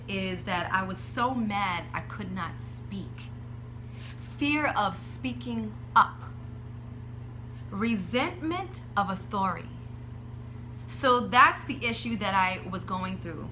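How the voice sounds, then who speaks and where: A woman teaching a movement meditation, chatty and personal about a favorite book, then giving slow, soothing breathing cues while seated on the floor indoors. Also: medium pitch, bright, forceful tone, slight background noise, poor audio.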